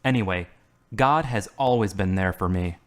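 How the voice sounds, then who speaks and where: A man's voice: deep voice, normal speed, close to an everyday voice. An actor playing an earnest young man recovering from an accident, who talks sincerely and asks many questions. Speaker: hospital patient. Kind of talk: amateur web drama acting.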